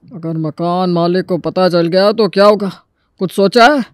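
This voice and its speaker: A cautious elderly family patriarch, acted by a man in an animated moral tale. He worries and grumbles warily in a voice pitched high for a man, recorded close and dry. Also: moderate pace, smooth, no echo, lightly acted. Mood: worried, wary. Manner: wary, grumbling. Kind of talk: Hindi animated story dialogue.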